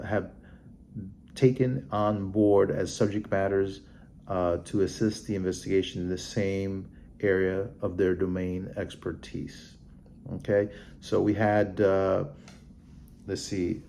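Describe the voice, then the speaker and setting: Male vlog host, monotone and mellow, halting read-aloud with stumbles, noticeable background noise. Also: fairly low pitch, gravelly. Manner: halting, stumbling over names and terms. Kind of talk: reading a report aloud with off-the-cuff comments.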